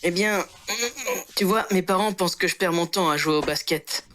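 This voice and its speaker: A woman's voice: lowish voice, moderate pace, a bit bright, slight background noise, close to an everyday voice. A woman playing a sincere, friendly teenage boy who loves basketball in an anime fan dub. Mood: worried, confiding. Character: earnest, sporty teenage boy, basketball player. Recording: music under the voice.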